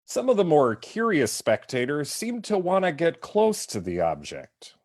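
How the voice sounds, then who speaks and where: A man acts out an earnest male radio reporter in a live drama reading. His voice sits a little high and moves in lively swings. The delivery is formal and florid, like an on-scene broadcast. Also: measured pace, exaggerated voice. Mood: polite, flustered. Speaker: cast member in an online script reading.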